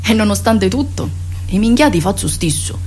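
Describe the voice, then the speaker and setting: An actress playing a spirited young country girl in a radio play, chatty and expressive, with a Sicilian accent. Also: mid-range voice, moderate pace, a little hiss or hum, close to an everyday voice. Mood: chatty, animated. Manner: expressive, chatty, dialect-peppered. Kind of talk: radio theatre monologue, colloquial and confiding.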